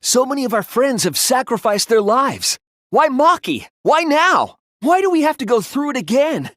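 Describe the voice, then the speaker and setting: A man's voice: very high-pitched, very animated, normal speed, no room sound, clean studio sound, heavily acted. A young man voicing an earnest, wounded but defiant teenage boy detective in a dramatic video game dub, emotional and emphatic. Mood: impassioned defiance. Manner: emotional, emphatic, swinging from hushed to impassioned.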